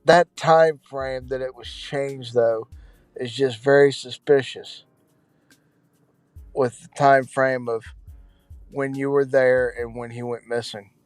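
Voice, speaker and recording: A man's voice: mid-range voice, steady tone, slow pace, pauses often, clear, resonant tone, dry recording, faint music behind. A man chatting casually as a livestream guest, reflective and agreeable in a conversational way. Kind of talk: casual case discussion.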